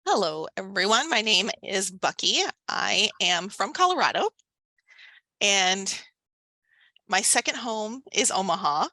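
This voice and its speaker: A woman voicing a signed panel introduction into speech, in halting phrases at an uneven pace. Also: medium pitch, lively intonation, pushed and bright, no room sound. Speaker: voice interpreter for a deaf panelist.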